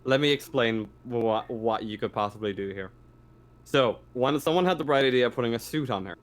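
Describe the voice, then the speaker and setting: Halting man running a tabletop game. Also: mid-range voice. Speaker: game master. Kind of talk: explaining a tabletop roleplaying game.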